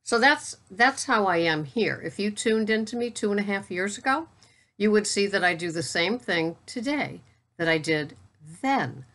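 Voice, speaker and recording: A woman in her sixties talking casually to camera as a keto vlogger and coach, in a steady, matter-of-fact voice with wry asides. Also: mid-range voice, normal speed. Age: 60s. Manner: steady, matter-of-fact, self-described monotone.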